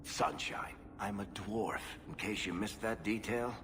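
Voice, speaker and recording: An actor playing a wry, smooth-talking dwarf merchant in a fantasy game. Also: male voice, fairly low pitch, measured pace, background noise, close to an everyday voice. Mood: amused. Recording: game audio with music, reverb.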